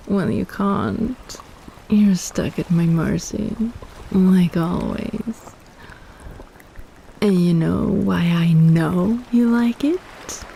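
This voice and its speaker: A woman voicing a possessive, flirtatious female kraken in a romantic roleplay audio, teasing in a sultry, playful, unhurried way with sighs. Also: fairly low pitch, lively intonation, vocal fry, warm tone, slight background noise, full character voice, rough recording.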